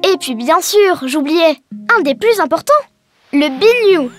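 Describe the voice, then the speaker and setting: Cartoon schoolgirl: high, cheerful, encouraging explainer, scripted lines over soft music. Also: lively intonation, unhurried. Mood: explanatory. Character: cheerful young Breton girl teaching her alien friend. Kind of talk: scripted educational cartoon dialogue. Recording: music behind the voice.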